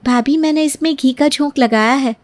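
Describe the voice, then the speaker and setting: A woman storyteller voicing a gentle new daughter-in-law, polite, soft-spoken and earnest about healthy living. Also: sits high, normal speed, voice pushed up, lightly acted. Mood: polite, firm.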